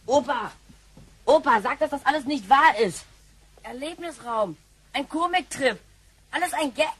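An actress playing a brash, distressed futuristic child in a radio play, with a young, childlike voice. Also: female voice, sits high, animated, unhurried. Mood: dismayed, sullen. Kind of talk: German radio play acting.

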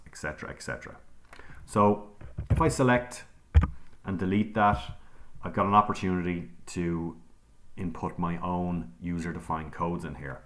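A man teaching a software tutorial over a screen recording, explaining each step calmly with frequent ums. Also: deep voice. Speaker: instructor.

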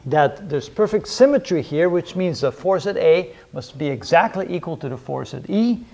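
A middle-aged man with a slightly high voice teaches as a lecturer. He works through a problem aloud at a whiteboard, methodically laying out each step. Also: gravelly, darkish tone. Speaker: engineering lecturer. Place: indoors, in front of a whiteboard.

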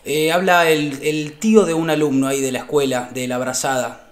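A man with an Argentine accent playing an earnest, aggrieved uncle with solemn, halting, formal speech on a prank call. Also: fairly high pitch, fairly even intonation, close to an everyday voice. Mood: solemn, polite. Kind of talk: improvised prank phone call.

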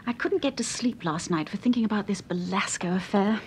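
A woman's voice: mid-range voice, a little fast, slight background noise, natural voice. A woman playing an inquisitive, sensible detective's wife in a British radio serial, polite, with Received Pronunciation. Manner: polite, questioning.

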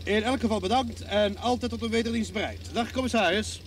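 An actor playing a self-assured, courteous private detective in a radio play, polite and persistent. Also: male voice, high voice, a little fast, close to an everyday voice. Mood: polite, insistent. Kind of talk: Dutch-language radio play acting. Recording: noisy old radio drama recording.

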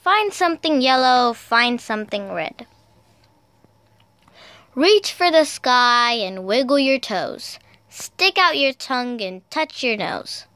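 A woman reading picture books aloud for toddlers in a sing-song, deliberate, child-directed way. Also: a little high, lively intonation, sharp tone, clean tone, no echo. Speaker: storyteller, video host.

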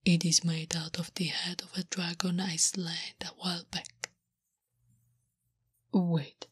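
A woman voicing a big, gentle, naive orc warrior woman in a soft, breathy whisper, with halting, stilted speech, for an ASMR roleplay. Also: lowish voice, dry recording, studio quality, exaggerated voice. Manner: halting, literal, third-person stilted speech.

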